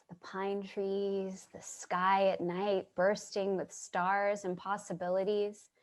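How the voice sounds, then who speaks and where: A woman acting as a dreamy, wistful drifter in a stage play reading. She speaks slowly at a medium pitch, earnest and effusive, lingering on each phrase. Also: darkish tone. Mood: wistful, tender. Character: wistful, dreamy young homeless woman named Charlie. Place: remote reading over video call.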